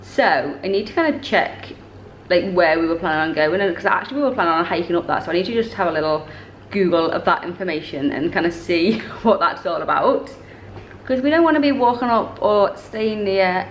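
A woman's voice: fairly low pitch, animated, a little fast, roomy sound, highs cut off, a little hiss or hum. A woman, talking casually to a handheld camera in a travel vlog, chatty and rambling, often correcting herself mid-sentence. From northern England. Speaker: travel vlogger. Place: hotel room indoors and busy street outdoors. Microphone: camera held at arm's length.

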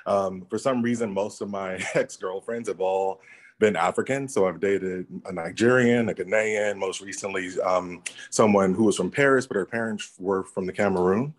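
A man's voice: low-pitched, normal speed. A man sharing his views in a casual video-call discussion, thoughtful and conversational, often checking in with "right?". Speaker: workshop participant. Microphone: laptop or phone on a video call.

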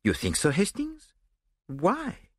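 A fastidious detective with a French accent. He speaks in a raised pitch with wildly animated intonation and clean, close sound. His manner is courtly and theatrical, with quirky turns of phrase. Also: husky, no room sound, exaggerated voice. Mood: crestfallen, questioning. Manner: exclamatory, courtly, oddly phrased English. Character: fastidious, theatrical foreign male detective, fond of dinner.

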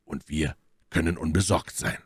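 Man as a low-voiced, commanding, wry musketeer. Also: fairly even intonation, husky, dry recording, clean studio sound. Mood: deliberate, assured. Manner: measured, unhurried, authoritative. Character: calm, commanding, wry older male musketeer. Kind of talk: audiobook character dialogue.

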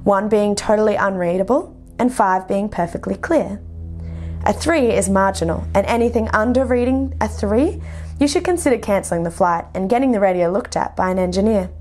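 A woman's voice: mid-range voice, animated, moderate pace, a little mellow, slight background noise. A young woman with an Australian accent, a flight instructor, delivers scripted instructional narration in a methodical, explanatory way. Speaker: flight instructor presenting a lesson.

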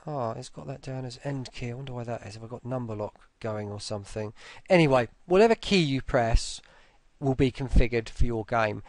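A man talking through a casual screen-recorded software tutorial, informally, with muttered asides to himself. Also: medium pitch, gravelly, no echo.

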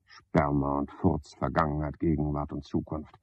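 A self-important club chairman in a seventies radio drama. He is a deep-voiced, gravelly man who cajoles and preens. The old recording is dry and somewhat dull. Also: little pitch movement, normal speed, a little mellow, no echo. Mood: brooding, hushed. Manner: pompous, cajoling, one-sided conversation. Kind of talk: 1970s German radio play acting. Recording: dull, band-limited 1970s radio recording.

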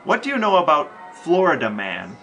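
A man co-hosting a casual podcast, telling stories with enthusiasm and asking deadpan questions. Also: fairly high pitch, moderate pace, faint music behind, rough recording. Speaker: podcast co-host.

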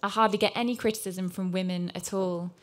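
A woman's voice: medium pitch, fairly even intonation, brisk. Earnest woman journalist on a panel. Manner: earnest, candid, with frequent ums. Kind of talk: panel discussion remarks.

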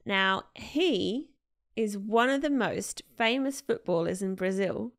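A woman co-hosts a relaxed studio podcast. Her mid-pitched voice carries a noticeable gravelly fry. She chats easily and laughs as she explains things. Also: takes it slowly. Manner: chatty, laughs often while explaining. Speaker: podcast co-host. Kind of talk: casual chat. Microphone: studio mic with headphones.

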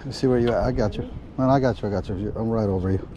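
A man's voice: fairly low pitch, fast talker. A man giving casual, slangy running commentary to viewers while fishing outdoors, recorded on a body-worn action camera. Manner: informal, slangy, addressing viewers as guys. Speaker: fishing vlogger. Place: outdoors on an ocean fishing pier. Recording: loud wind noise on action camera outdoors. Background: loud wind and sea ambience.

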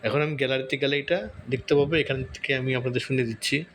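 A man's voice: mid-range voice, fairly even intonation, fast talker, a bit bright, a little hiss or hum. A man hosting a casual step-by-step tutorial, conversational and repetitive, often checking in with short tag questions. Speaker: tutorial host.

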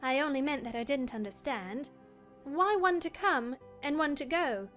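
A woman's voice: sits high, moderate pace, a bit bright, soft background music. A woman playing a polite, curious young girl in a dramatized audiobook, matter-of-fact in manner.

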